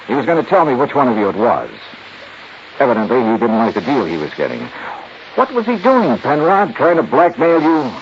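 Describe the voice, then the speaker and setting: Muffled old-time radio lead: confident American detective, clipped, widely varied intonation. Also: male voice, medium pitch, huge pitch swings, fairly quick. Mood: accusing, confident. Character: cool, shrewd male insurance investigator who narrates. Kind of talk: 1950s radio drama, first-person narration. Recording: muffled, faintly noisy 1950s radio recording.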